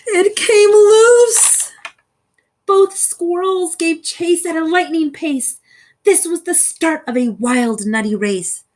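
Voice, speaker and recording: A woman reading a rhyming children's story aloud in an expressive, theatrical way with deliberate phrasing. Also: sits high. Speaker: storybook reader.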